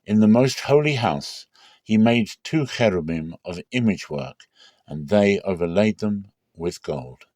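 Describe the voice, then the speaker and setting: A man giving a dramatic, solemn scripture reading with measured, deliberate phrasing. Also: on the low side, expressive, darkish tone, no room sound, clean studio sound. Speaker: Bible reader.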